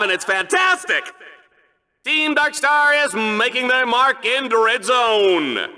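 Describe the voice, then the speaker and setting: Goofy sci-fi show announcer, theatrical, very lively swooping pitch, drawn-out delivery, bombastic game commentary. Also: male voice, heavily acted. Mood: goofy, excited. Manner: showy, theatrical, hammy. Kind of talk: over-the-top sports announcer commentary.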